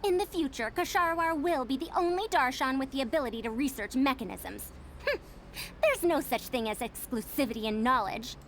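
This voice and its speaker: A woman's voice: sits high, expressive, fairly quick, slight background noise. A woman voicing a haughty senior female scholar in a video game, prim and self-important, with lofty phrasing.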